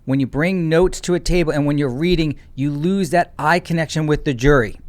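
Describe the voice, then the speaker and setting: Blunt, mocking male legal commentator, higher-pitched. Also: brisk. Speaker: lawyer commentator and host. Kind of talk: opinionated trial reaction commentary.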